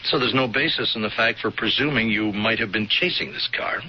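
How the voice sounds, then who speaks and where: An actor playing a plainspoken, persistent state trooper in a radio drama, with a halting, polite, probing manner. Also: male voice, lowish voice, natural voice. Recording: dull, slightly noisy vintage radio recording.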